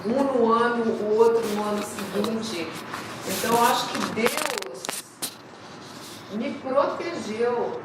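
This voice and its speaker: A woman's voice: fairly high pitch, slow pace. A Brazilian woman sharing her personal faith story in a church class, hesitant and repeating words. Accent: Brazilian Portuguese. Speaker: class participant. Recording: echoey room, distant mic, background noise.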